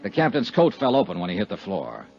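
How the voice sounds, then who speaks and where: An American actor playing a tough, wry insurance investigator in a clipped 1950s radio detective style. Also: male voice, lowish voice, huge pitch swings, fast, gravelly, a little hiss or hum, heavily acted. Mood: brooding, measured. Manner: clipped, hard-boiled delivery. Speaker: lead actor and narrator of a radio serial. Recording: dull 1950s radio recording.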